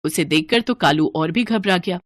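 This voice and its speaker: A woman's voice: lowish voice, moderate pace, dry recording, clean studio sound. A woman narrating a scripted children's moral story as storyteller.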